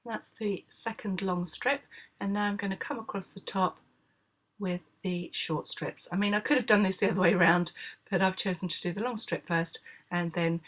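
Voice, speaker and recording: A woman, hoarse from a cold, calmly explaining a craft project step by step in a tutorial voice-over, with frequent filler sounds. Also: medium pitch, normal speed.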